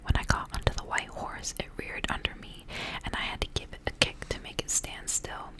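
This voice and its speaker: Woman whispering asmr storytime, soft breathy voice, barely any voiced sound. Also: a little fast. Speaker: ASMR host reading a storybook aloud. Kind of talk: whispered ASMR story reading with casual asides.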